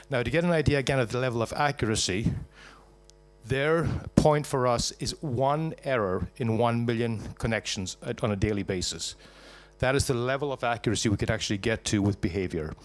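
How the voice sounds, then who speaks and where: A Scottish man giving a live startup pitch to an audience, jokey and punchy, asking and answering his own questions. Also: fairly quick. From Scotland.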